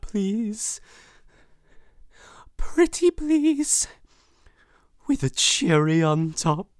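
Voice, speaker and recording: A woman playing a sweet-talking but unstable female captor in an audio drama, using syrupy baby talk that breaks into shrill screaming fits. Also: a little mellow, no room sound, clean studio sound.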